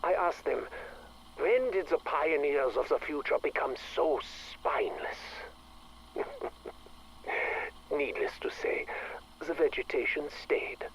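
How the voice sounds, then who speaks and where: An actor playing a proud, cold scientist director who speaks formally and with measured pomposity in a video game audio log. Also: male voice, a little high, slight background noise, rough recording. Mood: proud, clinical. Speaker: project director.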